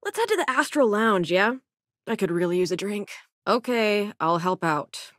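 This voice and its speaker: A woman's voice: medium pitch, sing-song, normal speed, fairly bright tone, dry recording, studio quality. A woman playing a self-assured young robotics researcher aboard a spaceship in a video game, with a wry, casual delivery. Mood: confident, conversational.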